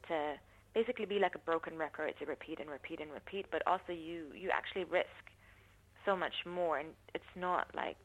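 A woman giving thoughtful, measured interview answers, often pausing to rephrase her points. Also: low voice, a bit bright, low-quality recording. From not from New Zealand. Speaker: interviewee, university researcher. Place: New Zealand.

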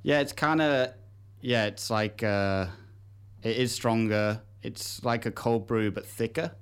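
A man's voice: lowish voice, steady tone, takes it slowly, clean tone. A man appearing as a guest on a radio show, explaining things in a laid-back way with many fillers. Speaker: coffee roaster guest. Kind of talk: casual radio interview.

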